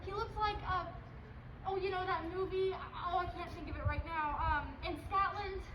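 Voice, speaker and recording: An excitable young mother played by a woman with a strikingly high voice, teasing and insistent in a stage comedy. She is exclamatory and playful. The room echoes and there is clear noise behind her. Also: moderate pace. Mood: chatty. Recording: echoey, noisy amateur play recording.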